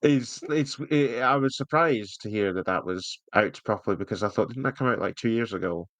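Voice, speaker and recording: A man's voice: on the low side, a little fast, fairly bright tone, no echo. A British man co-hosting a podcast in casual banter, with a wry, rambling, sweary way of talking. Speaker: podcast co-host.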